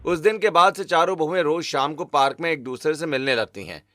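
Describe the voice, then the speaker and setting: A man's voice: fairly high pitch, fairly quick, pushed and bright, dry recording, studio quality. A man narrating a scripted family moral story as a storyteller.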